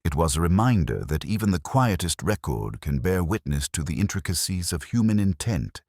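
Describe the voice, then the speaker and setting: Male narrator, very low voice with lively intonation, contemplative scripted detective fiction, dry close recording. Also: dry recording. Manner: measured, literary, contemplative. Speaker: audiobook-style narrator. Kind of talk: scripted first-person detective story narration.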